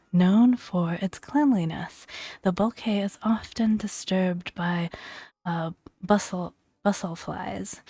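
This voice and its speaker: A woman speaking softly and breathily in a gentle, playful ASMR style, often dropping into a whisper as she reads and comments. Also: lowish voice, no echo. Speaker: gaming video host. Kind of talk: soft-spoken ASMR, reading creature entries with casual commentary.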